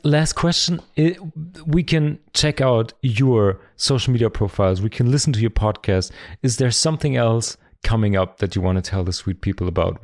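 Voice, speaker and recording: A man's voice: mid-range voice, darkish tone, no room sound, studio quality. A man hosting a podcast, upbeat as he sums up the talk and asks his guest closing questions. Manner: upbeat, wrapping up with summaries and questions. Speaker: podcast host. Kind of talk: casual interview chat. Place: podcast studio.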